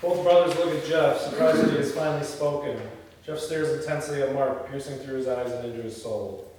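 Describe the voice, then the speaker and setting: A man's voice: a little high, fairly even intonation, fairly quick, a little mellow. A man reading screenplay stage directions aloud at a table read, with informal asides. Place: indoor echoey room. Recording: very echoey room, distant mic, faint noise.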